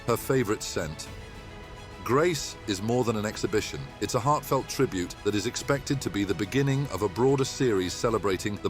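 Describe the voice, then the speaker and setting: A man presenting a weekly local news bulletin in a measured, even newsreader delivery. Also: fairly low pitch. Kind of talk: scripted news narration.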